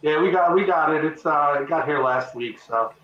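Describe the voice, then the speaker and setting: A man from Texas, answering as a guest over a video call in a rambling, halting way with many restarts. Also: a little high, fairly bright tone, slight background noise. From Texas, north of Dallas. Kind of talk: casual interview talk. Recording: roomy, distant, muffled call audio.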